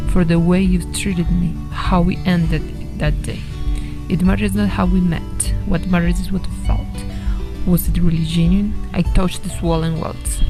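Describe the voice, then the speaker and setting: Female poetry reader, even intonation, plenty of vocal fry, measured delivery with guitar behind. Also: fairly low pitch. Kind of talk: recited poem, measured reading. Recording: played-back clip with guitar music. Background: guitar music.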